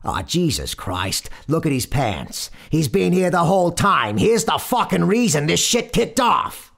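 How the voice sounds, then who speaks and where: A man voicing a brash, irritated, sweary train motorman with a gruff Brooklyn accent in an audio horror story. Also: high-pitched, brisk, a little mellow, voice pushed up, close to an everyday voice. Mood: exasperated.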